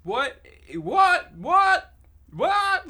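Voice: extremely high pitch, very animated, extremely slow, pushed and bright, heavily acted. A performer playing a feeble, hesitant old lady who stammers and repeats words in a comic radio play. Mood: feeble, halting. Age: older. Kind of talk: amateur comic radio drama acting.

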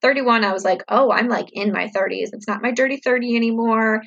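Husky-voiced chatty woman in her thirties. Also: a little high, a little fast, a bit bright, lo-fi sound. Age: 30s. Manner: upbeat, chatty, reflective with many fillers. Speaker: vlogger answering viewer questions. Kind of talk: casual Q&A chat to camera.

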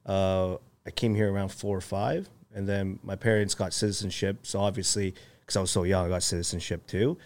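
A man's voice: low voice, flat delivery. A man in his twenties from Taiwan, a guest mason, talking in a light, joking way. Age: 20s. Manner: light, joking, quick to laugh.

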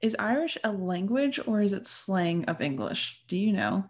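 A young American woman hosting a podcast, chatting in an animated, reflective way. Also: mid-range voice, moderate pace. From United States. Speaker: podcast host. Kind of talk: casual podcast chat.

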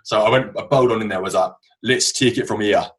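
A man's voice: on the low side, quick pace, breathy edge. A man in his twenties with a southern English accent, co-hosting a podcast with chatty, sweary, self-deprecating storytelling. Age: 20s. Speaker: podcast co-host. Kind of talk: casual anecdote telling between friends.

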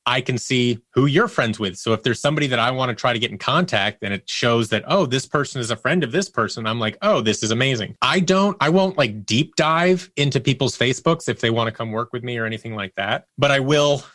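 A man's voice: medium pitch, brisk, hardly any pauses, fairly bright tone, no room sound, clean studio sound. A man speaking as a guest in a casual interview, candid and emphatic, often acting out little exchanges as quotes. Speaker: interview guest, audio producer.

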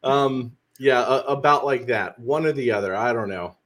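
A man's voice: mid-range voice, normal speed, fairly bright tone. A man co-hosting a podcast, speaking hesitantly with frequent restarts and small laughs. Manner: hesitant, many restarts and chuckles. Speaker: podcast co-host. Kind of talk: podcast conversation.

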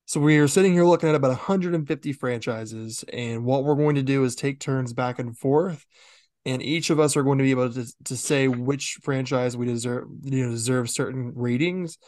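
A man's voice: medium pitch, moderate pace, darkish tone, no room sound, studio quality. A man co-hosting a horror podcast, explaining casually with lots of fillers and easy laughs. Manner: chatty, laughs easily, filler-heavy explaining. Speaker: podcast co-host.

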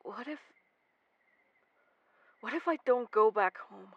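A woman playing a gentle, affectionate young student in love in an audio drama, speaking softly and hesitantly. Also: a little high, expressive, slow pace, dry recording, muffled recording, heavily acted. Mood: hopeful, tender.

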